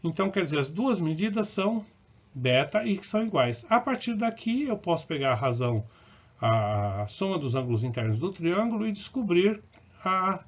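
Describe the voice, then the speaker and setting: A man's voice: high-pitched, big pitch swings, moderate pace. Math teacher walking through problems step by step, fixes his own slips, muffled lo-fi audio. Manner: explains step by step, correcting himself as he goes; checks in with short asides to the viewer. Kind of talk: recorded lesson working through problems. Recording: dull, lo-fi recording.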